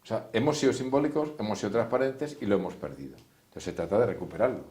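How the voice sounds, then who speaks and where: A man's voice: lowish voice, fast talker, a little mellow. A man giving an informal, didactic class, explaining step by step and addressing his listeners directly. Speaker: therapist teaching a class. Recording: echoey room.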